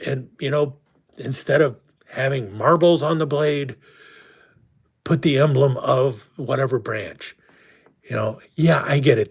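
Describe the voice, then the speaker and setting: A man's voice: medium pitch, creaky. A man hosting a casual live stream who is losing his voice, talking in a rambling way with many restarts. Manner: rambling, frequent restarts and fillers. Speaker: host.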